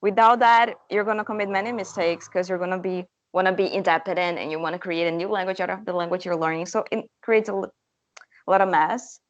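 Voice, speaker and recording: A woman's voice: lowish voice, fairly even intonation, fast talker. A young woman whose first language is Portuguese, a language teacher answering interview questions eagerly, with many restarts. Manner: enthusiastic, talkative, with restarts.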